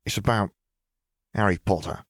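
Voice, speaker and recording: A man's voice: sits low, normal speed, no echo, studio quality. A man voicing a cool young male wizard in an audiobook, understated, controlled and threatening. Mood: guarded, teasing.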